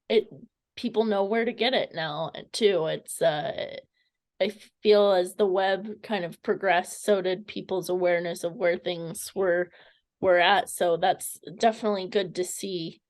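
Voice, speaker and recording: A woman's voice: mid-range voice, steady tone, measured pace, a little mellow. A woman conducting a casual interview, phrasing her remarks and questions tentatively.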